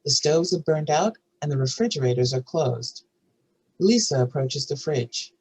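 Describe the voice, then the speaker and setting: A woman with a husky voice reading screenplay stage directions as a narrator. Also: deep voice, expressive, moderate pace, a little mellow, rough recording.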